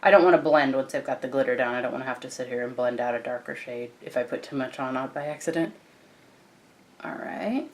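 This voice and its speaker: A young woman with a croaky morning voice, chatting casually as she walks through a makeup tutorial. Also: very deep voice, brisk, a little hiss or hum. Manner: chatty, relaxed, frequent fillers and restarts. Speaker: beauty vlogger.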